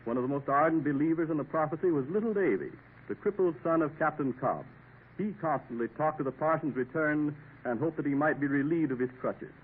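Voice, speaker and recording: A man narrating a radio drama in a measured storytelling manner. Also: fairly high pitch, expressive. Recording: tinny old radio broadcast with hiss.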